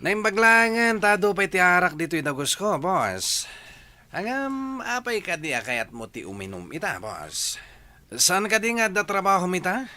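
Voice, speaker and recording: Loyal, familiar sidekick, TV drama; Ilocano accent, animated low-to-high delivery, punchy tone. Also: male voice, unhurried, full character voice. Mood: eager, loyal. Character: easygoing, deferential male drinking sidekick. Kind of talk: Ilocano television drama acting.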